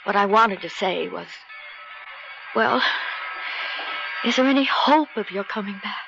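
A woman's voice: medium pitch, unhurried, vocal fry. An actress in a radio drama playing a clinging, heartbroken mistress pleading with her departing lover. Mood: nostalgic, pleading. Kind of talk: 1970s radio drama acting. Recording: dull radio recording over music.

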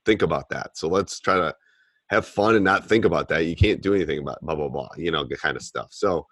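A man with a deep voice giving coaching advice in a casual, plain-spoken way, often repeating himself. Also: quick pace, fairly bright tone, no echo. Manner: plain-spoken, repeats phrases, acts out what he tells players.

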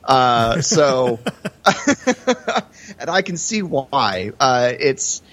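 A man's voice: mid-range voice, expressive, extremely slow, fairly bright tone. A man co-hosting a podcast, explaining things in a hesitant, conversational way during casual film analysis. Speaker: podcast co-host. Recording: slightly dull, lo-fi audio.

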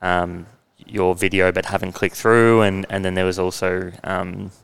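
A man hosting a podcast, asking friendly, halting questions with many ums. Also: deep voice, level tone, vocal fry, fairly bright tone, clear, resonant tone. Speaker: podcast host. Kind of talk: casual podcast interview.

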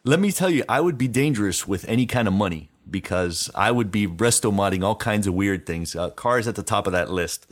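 A middle-aged man with a fairly low voice chats as a show co-host. He is enthusiastic and tells things in a loose, meandering way, keeping it casual throughout. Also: animated, a little fast, hardly any pauses. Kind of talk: casual chat.

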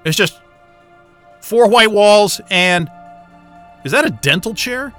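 An actor playing an irreverent, wisecracking astrophysicist in a sci-fi audio drama, with a sarcastic, informal and exasperated way of talking. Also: male voice, high voice, animated, slow pace, sharp tone, soft background music, full character voice. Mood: bewildered. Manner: sarcastic, informal, hesitant muttering and outbursts.

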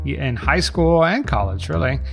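Playful man with a slightly raised pitch. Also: expressive, vocal fry, faint music behind. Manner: playful, teasing, quick to joke. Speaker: podcast host. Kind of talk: casual chat.

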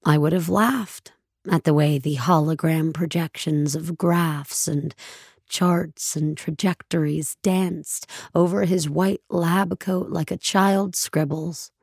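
An actress playing a numb, grieving woman narrating the end of her world in a somber, measured, husky voice for an audio drama. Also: sits low, a little mellow, no echo, studio quality, exaggerated voice. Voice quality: husky, gravelly. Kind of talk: dramatic first-person audio fiction narration.

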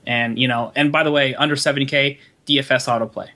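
A man's voice: medium pitch, fairly even intonation, fast, sharp tone. A man co-hosting a casual fantasy sports podcast, rambling and restarting his sentences as he gives his picks. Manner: rambling, restarts sentences, many fillers like "you know". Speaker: podcast co-host, fantasy basketball analyst.

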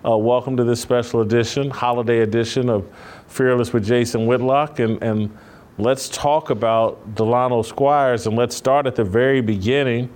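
Smooth-voiced man hosting an interview. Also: mid-range voice, fairly even intonation, moderate pace, darkish tone. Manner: loose, rambling questions with restarts. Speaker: podcast host. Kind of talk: casual interview.

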